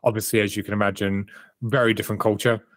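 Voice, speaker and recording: A low-pitched man in his thirties, from South Africa, talks about his life with candid reflection. He is a coach and author calling in to an interview. The sound is dry and close. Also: little pitch movement, fast, a bit bright, dry recording. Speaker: guest, performance coach and author. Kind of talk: remote interview answers.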